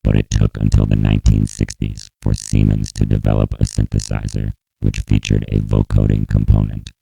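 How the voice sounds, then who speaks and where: Vocoder-processed narrator, gravelly and buzzing, slow over-enunciated scripted explanation of electronic composition. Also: monotone, dark tone, faint music behind, rough recording. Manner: slow, exaggerated, very proper enunciation. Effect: vocoder, randomly shifting synthesizer pitch and chords. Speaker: composer narrating own piece.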